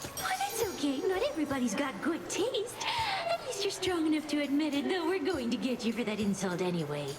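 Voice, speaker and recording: A very high, wildly swooping siren pop star, bubbly and vain with a sweet threat underneath. It is theatrical game acting over loud music. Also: female voice, sing-song, brisk. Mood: sweetly threatening. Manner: perky, theatrical. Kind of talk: animated game character acting.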